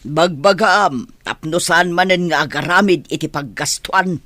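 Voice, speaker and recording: A man's voice: fairly high pitch, unhurried, bright, forceful tone, no echo. A man with an Ilocano Filipino accent playing a wealthy, complaining, gossipy parent in a radio comedy-drama. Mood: firm, annoyed.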